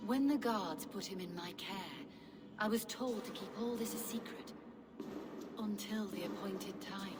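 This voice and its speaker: A woman acts a devoted medieval sister who tends the sick. She sounds courteous, measured and faintly eerie, mid-pitched, in a long reverb. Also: close to an everyday voice. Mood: guarded, regretful. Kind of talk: dramatic video game acting.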